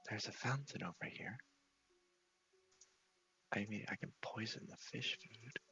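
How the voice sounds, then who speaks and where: A man giving soft, half-whispered ASMR commentary on a game playthrough, murmuring to himself and reading text aloud in an unhurried way. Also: fairly low pitch, vocal fry, dull audio. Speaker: game streamer, video host.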